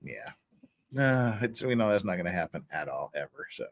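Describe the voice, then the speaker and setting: A man's voice: low voice, very animated, moderate pace. A man hosting a livestream with casual, joking, teasing banter. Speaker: livestream host.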